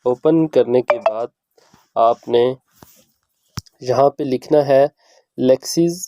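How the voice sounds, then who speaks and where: Deep, mellow-voiced man giving a tutorial. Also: very slow, no room sound, highs cut off. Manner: instructional, repeats each step. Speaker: video host giving an app tutorial. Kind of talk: spoken step-by-step how-to tutorial.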